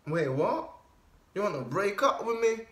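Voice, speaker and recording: Young man doing a loud, defiant boyfriend breaking up by phone, high-pitched, echoey room. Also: sing-song, unhurried, slight background noise, heavily acted. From Cameroon. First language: Bafang. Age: young adult. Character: brash young man dumping his girlfriend by phone. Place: small room indoors. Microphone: camera at desk distance.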